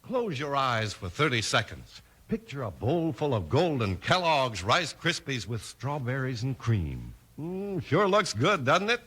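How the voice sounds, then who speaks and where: A man's voice: medium pitch, huge pitch swings, measured pace, few pauses, a bit bright, slight background noise. A man announcing and narrating in a scripted 1950s radio style.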